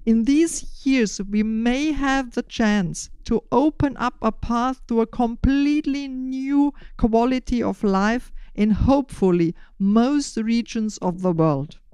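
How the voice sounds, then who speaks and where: An older woman explaining a podcast in measured, deliberate, prepared phrases. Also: on the high side, a little mellow, clean tone, no room sound. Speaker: podcast host.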